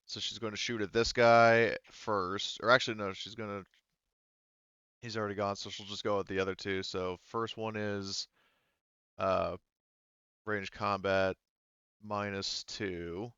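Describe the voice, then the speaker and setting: A man's voice: lowish voice, flat delivery, unhurried, long pauses, bright, forceful tone, smooth, no room sound, highs cut off. A man acting as game master in a tabletop roleplaying game, talking through rulings casually.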